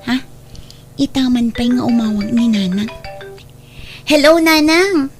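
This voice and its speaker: Woman acting high-voiced forgiving Ilocano daughter. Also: expressive, a little slow. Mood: cheerful. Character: grown daughter, young mother, forgiving and respectful. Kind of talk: Ilocano radio drama acting. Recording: music bed behind the voice.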